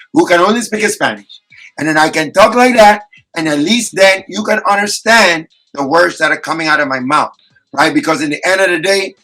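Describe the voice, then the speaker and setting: A man leads an online discussion over a video call. His voice sits a little high and sounds bright and forward. He talks casually and with animation, and now and then acts out example voices. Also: poor audio. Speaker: host running an online quiz.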